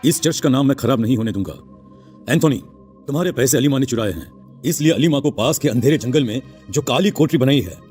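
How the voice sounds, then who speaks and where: A man's voice: mid-range voice, expressive, fast talker, darkish tone, soft background music, close to an everyday voice. Solemn, authoritative church priest played by a man. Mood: stern. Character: stern, grave church priest, fatherly authority. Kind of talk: Hindi cartoon drama voice acting.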